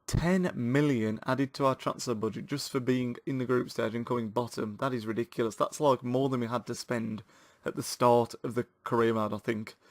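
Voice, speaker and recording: A man with a sore throat, giving excitable, chatty commentary to viewers while playing a football video game. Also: mid-range voice, moderate pace, few pauses, dry recording, studio quality. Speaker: gaming channel host.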